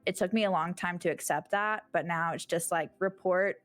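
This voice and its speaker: Relaxed woman rambling casually. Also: fairly low pitch, moderate pace, no room sound. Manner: relaxed, rambling, many fillers. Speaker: streamer guest. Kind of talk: casual interview chat.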